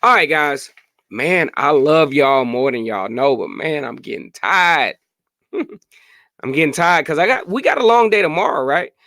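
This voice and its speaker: A hoarse man in his late thirties hosting a casual sports podcast live stream, chatting freely and answering viewer comments. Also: a little high, animated, measured pace, bright, forceful tone, no room sound, studio quality. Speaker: podcast host.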